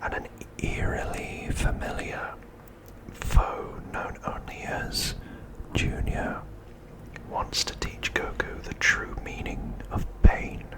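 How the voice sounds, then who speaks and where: A man hosting an ASMR video, speaking in a hushed, deliberate whisper. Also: rough recording. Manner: hushed, slow, deliberate delivery.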